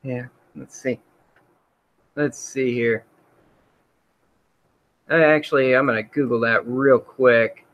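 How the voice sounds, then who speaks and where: A man's voice: medium pitch, fairly even intonation, takes it slowly, pauses often, fairly bright tone. A man hosting a casual livestream, rambling with many fillers while he reads out chat comments. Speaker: host.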